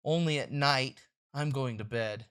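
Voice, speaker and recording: A woman's voice: extremely low pitch, measured pace, a bit bright, clear, resonant tone, voice pushed down. A woman voicing a weary, reluctant father with a dry, grumbling manner in a comic strip read aloud. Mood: tired, dry.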